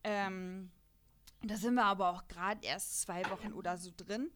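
A woman's voice: mid-range voice, fairly even intonation, a little slow, a bit bright. A woman with a German accent chatting casually as a podcast host, in a jokey, self-deprecating manner. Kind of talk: casual podcast chat about an audio drama series.

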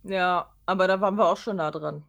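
A woman's voice: lowish voice, fairly even intonation, fairly quick, vocal fry, a bit bright. A woman co-hosting a podcast, chatting casually and often musing aloud in an informal way. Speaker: podcast co-host. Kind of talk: casual chat.

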